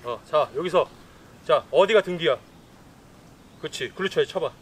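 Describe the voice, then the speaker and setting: A man in his thirties coaching football players on an outdoor pitch, giving brisk instructions and commands, recorded from a distant camera. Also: slight background noise.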